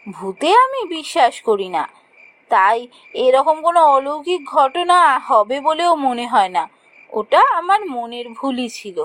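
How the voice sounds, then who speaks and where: A woman's voice: high-pitched, animated, measured pace, a bit bright. A woman voicing an anxious young female office worker, telling a cartoon ghost story in a dramatic, hesitant way. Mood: uneasy, puzzled. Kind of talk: first-person cartoon ghost story narration.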